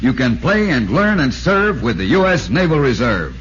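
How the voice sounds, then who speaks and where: An American male announcer delivers a scripted read in 1940s radio style. His pitch moves in a lively way, on a muffled recording with faint noise. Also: moderate pace.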